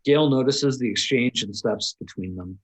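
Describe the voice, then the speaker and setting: A man's voice: mid-range voice, normal speed, breathy edge, low-quality recording. A man reading scripted stage directions aloud as narrator at a table read.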